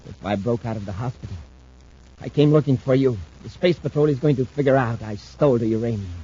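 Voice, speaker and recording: Man acting a husky, obsessed space drifter. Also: mid-range voice, quick pace, warm tone, close to an everyday voice. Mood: feverish, driven. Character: thin, weakened, obsessed male spacer seeking his old partner. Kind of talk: 1950s radio drama acting. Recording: muffled old-time radio recording.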